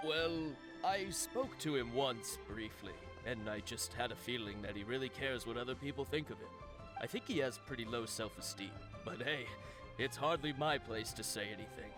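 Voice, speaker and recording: A man's voice: mid-range voice, moderate pace, lightly acted, rough recording. A man playing a gossipy, slightly defensive fish vendor in a video game. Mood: chatty, guarded. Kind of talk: video game character dialogue. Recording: game music behind the voice.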